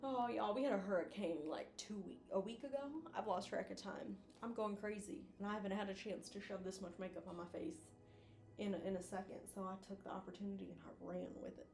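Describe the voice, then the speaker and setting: A woman's voice: mid-range voice, steady tone, brisk, creaky, a little mellow. Informal, effusive woman in a roomy echo. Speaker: video essayist, host. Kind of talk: casual video commentary.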